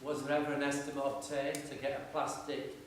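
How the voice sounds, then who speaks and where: A man's voice: mid-range voice, completely flat delivery, fairly quick. A man asking a question from the floor at a church meeting, groping for words as he explains. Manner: searching for words while explaining. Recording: echoey room, speaker far off, faint hum.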